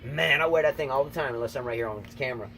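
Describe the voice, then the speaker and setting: A man co-hosting a casual tennis chat outdoors, emphatic and repetitive, talking excitedly. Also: mid-range voice, very fast, gravelly, faint music behind. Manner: emphatic, repeats himself, excitable. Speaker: tennis channel co-host, coach. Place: outdoors, windy. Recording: noisy outdoor recording, wind.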